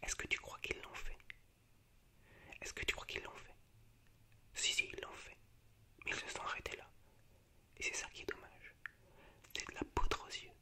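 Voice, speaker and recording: A man's voice: moderate pace, long pauses, bright, forceful tone. Whispering man, ASMR style. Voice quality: unvoiced, breathy whisper. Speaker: ASMR video host. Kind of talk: whispered ASMR, reciting film monologues.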